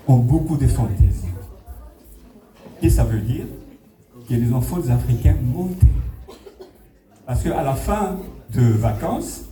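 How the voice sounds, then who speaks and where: A middle-aged man with a Congolese French accent gives an informal public speech as founder of a community association, telling anecdotes and addressing his listeners directly. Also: mid-range voice. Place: party hall, speaking to a gathering. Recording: echoey hall, distant mic, audience noise.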